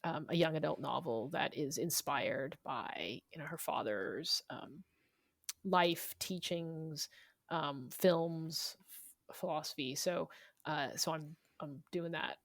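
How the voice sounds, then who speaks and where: A woman speaks as an interview guest in a fairly low voice. She explains things informally and thoughtfully, as an author talking about work. The sound is dry, with little room in it. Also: creaky, no echo. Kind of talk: conversational interview.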